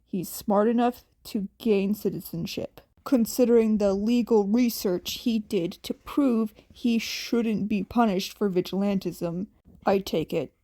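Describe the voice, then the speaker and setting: A woman voicing a gruff, tired, blunt but protective man with a grudging deadpan delivery in a fan fiction audiobook. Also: medium pitch, a little slow, no echo, voice pushed down, lightly acted. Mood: resigned, dry.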